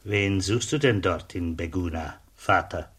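An actor playing a kindly, plain-spoken border customs officer in a German radio drama, with a husky voice and simple, friendly manner. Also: male voice, low voice, a little slow, a bit bright, heavily acted. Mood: curious.

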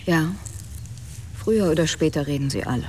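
A cool investigative writer in a radio drama, her voice slightly low. She speaks standard German with a dry, probing, needling delivery. Faint ambience lies behind her. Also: animated, fairly quick, a little mellow, slight background noise.

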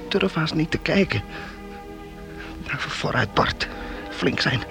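A man's voice: a little high, expressive, takes it slowly, creaky, music in the background, full character voice. An actor playing an eager, kind-hearted boy in a radio play, earnest and a little hesitant. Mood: anxious, tender. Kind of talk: radio drama acting.

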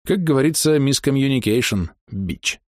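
A man's voice: mid-range voice, animated, moderate pace, no room sound, studio quality. A man narrating a first-person diary as a touring musician, in a wry, slangy way. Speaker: touring musician telling his tour diary.

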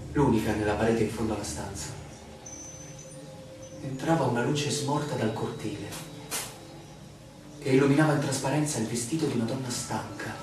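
An actor playing a weary, bewildered male stranger telling his story with deliberate theatrical emphasis in a radio play. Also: medium pitch, lightly acted. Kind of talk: theatrical radio drama monologue. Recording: very echoey, distant, noisy radio play recording.

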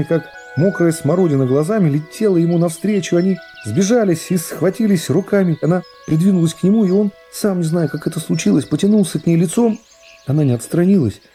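A man narrating a literary radio play in a measured, expressive storytelling manner. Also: on the high side, slightly rough. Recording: music behind the voice. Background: plucked guitar music.

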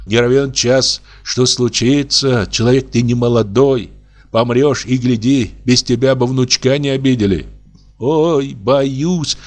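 A man's voice: mid-range voice, close to an everyday voice. A man voicing a worried old peasant woman who sighs and pleads fussily. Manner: pleading, sighing, fretful.

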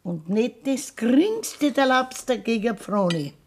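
Slow-spoken stern old Bavarian grandmother. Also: on the high side, expressive, darkish tone. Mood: scolding. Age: older. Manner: blunt, scolding. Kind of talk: radio drama acting in dialect.

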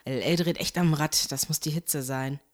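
A woman playing a sassy, exasperated friend of an agent in a horror radio play, expressive and incredulous. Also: deep voice, normal speed, over loud music, lightly acted.

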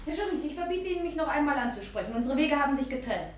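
A woman's voice: sits high, animated, rapid-fire, roomy sound, a little hiss or hum. An actress playing a flighty, easily indignant young girlfriend in a 1950s German radio drama, with an agitated manner. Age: young adult. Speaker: actor as suspect's girlfriend.

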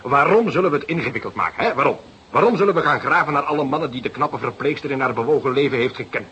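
An actor playing an eager junior detective who lays out theories emphatically and a little grandly in a detective radio drama. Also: male voice, medium pitch, expressive, fast, fairly bright tone, highs cut off, slight background noise, natural voice. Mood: impatient, reasoning.

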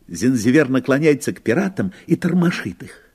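A man narrating a fairy tale as storyteller in a scripted audio play, with a husky voice. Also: medium pitch, sing-song, a little fast, darkish tone, heavily acted. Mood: unhurried storytelling.

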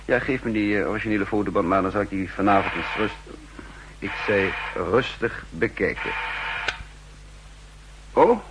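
An actor playing an older, wry and condescending spy chief who also narrates in the first person, in 1960s radio drama style. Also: male voice, on the low side, moderate pace, pauses often, close to an everyday voice. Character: aging spy chief and cryptographer, wry, secretly scheming. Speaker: narrator and lead character. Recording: humming, noisy 1960s radio recording.

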